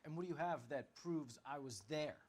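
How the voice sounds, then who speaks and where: A man's voice: a little high, normal speed, a little mellow, lightly acted. Smug, defiant suspect, played by a man. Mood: smug, taunting. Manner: taunting, cool. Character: smug, defiant male political agitator suspect. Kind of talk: dramatic video game acting.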